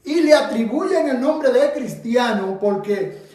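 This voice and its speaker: A man's voice: very high-pitched, lively intonation, takes it slowly, a little mellow. A man with a Dominican Spanish accent leading an interactive Bible study, asking his group questions and pressing his points emphatically. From Dominican Republic. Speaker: Bible teacher. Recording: echoey room from far off.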